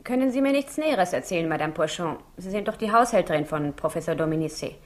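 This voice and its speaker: A woman's voice: fairly low pitch, animated, fast, lightly acted. An actress in a 1960s radio crime drama playing a composed, concerned young woman psychiatrist with a polite, questioning manner. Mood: professional, inquiring.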